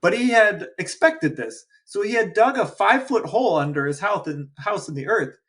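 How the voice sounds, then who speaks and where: A man co-hosting a podcast, chatting animatedly with frequent restarts and excited jumps in pitch. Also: normal speed. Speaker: podcast co-host. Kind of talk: casual chat.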